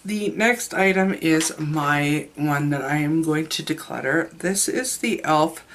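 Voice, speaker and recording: A woman's voice: low-pitched, slow, slight background noise. A woman with a stuffed-up, sick voice, chatting candidly to camera about her makeup. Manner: chatty, candid, trails off and restarts. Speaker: beauty vlogger. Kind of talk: casual makeup review to camera.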